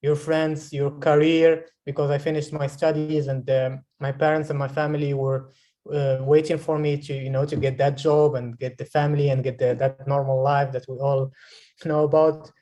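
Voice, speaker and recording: A man in his twenties from Morocco, a guest filmmaker speaking in an interview over a video call, often pausing and restarting as he searches for words. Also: a little high, little pitch movement, mellow. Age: 20s.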